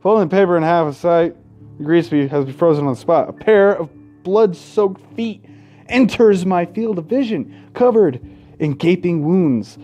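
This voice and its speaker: A man's voice: high voice, animated, normal speed, mellow, muffled recording. A man reading a horror game aloud in a dramatic style as a let's play host, breaking off for crude, profane, mocking asides. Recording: music behind the voice. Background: game music.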